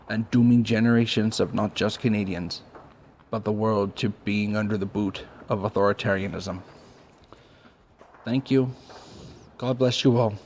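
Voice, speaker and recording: Low-voiced man acting as a solemn wartime leader. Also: a little mellow, slight background noise, lightly acted. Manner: solemn, measured oratory. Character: wartime Canadian leader giving a grave, resolute address. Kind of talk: formal political speech.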